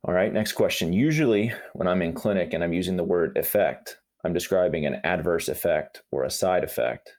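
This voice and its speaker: A man's voice: deep voice, fairly quick, hardly any pauses, darkish tone. A man, a doctor hosting, asking grammar questions in an earnest way with light humor. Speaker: physician host. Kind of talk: podcast interview questions.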